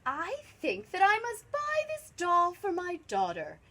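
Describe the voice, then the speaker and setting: A woman voicing an excitable, gushing lady shopper in a children's story, drawing out her words in an exclamatory way. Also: very high voice, measured pace, voice pushed up, full character voice. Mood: delighted.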